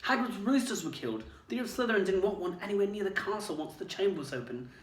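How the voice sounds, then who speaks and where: A man voicing an earnest, quick-thinking teenage schoolboy who talks urgently and eagerly. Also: high voice, expressive, pitched above the performer's own voice. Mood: excited. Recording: roomy, distant mic.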